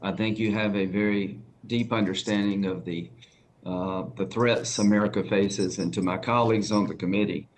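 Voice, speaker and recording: A man's voice: on the low side, steady tone, normal speed, slight background noise. A man with a Southern accent, a senator pressing a nominee with folksy, direct questions at a hearing.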